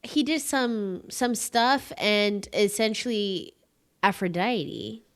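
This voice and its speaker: A woman co-hosting a podcast in casual chat, slow and tentative, trailing off into vague words. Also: mid-range voice, smooth. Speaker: podcast co-host.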